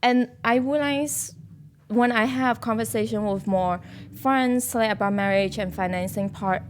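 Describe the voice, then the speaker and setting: Woman guesting on a podcast, chatty, lots of "like", gets loud when she's making a point. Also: on the high side, measured pace, a little hiss or hum. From born in China, raised in Hong Kong. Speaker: guest, bridal shop owner. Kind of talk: casual podcast chat.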